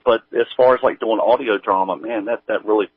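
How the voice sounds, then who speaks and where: Guy guest on a tinny phone-like line, lowish voice, talks casual and halting. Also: steady tone, breathy edge. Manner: halting, hesitant, informal. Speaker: interview guest, writer. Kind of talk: casual interview chat.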